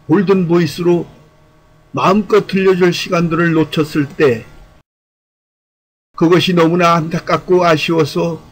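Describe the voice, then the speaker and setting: A man's voice: high voice, rough recording. A man delivering scripted commentary in a formal, speech-like way, with an earnest, rhetorical, declamatory manner. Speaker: YouTube channel commentator.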